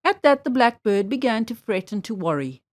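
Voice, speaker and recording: A woman reads a children's story aloud. Her voice sits a little high and moves with animated intonation, phrasing each sentence expressively as a storyteller. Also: moderate pace.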